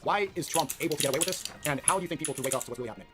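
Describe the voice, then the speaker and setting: A man's voice: a little high, racing, over music. A young man interviewing on politics, asking long, opinionated questions in an earnest way. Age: young adult.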